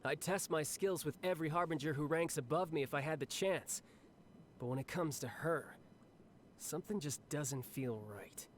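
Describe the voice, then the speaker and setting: An actor playing a cocky, cheerful young warrior officer in a video game, speaking in a breezy, confident, conversational way. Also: male voice, a little high, normal speed, a little hiss or hum, close to an everyday voice. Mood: confident, musing. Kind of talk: scripted video game character monologue.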